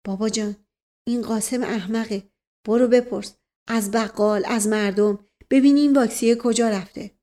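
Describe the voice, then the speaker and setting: A woman's voice: on the high side, darkish tone, lightly acted. A woman voicing a grave, agitated older man, an imperious uncle in a story, with dramatic delivery. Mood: anxious, impatient.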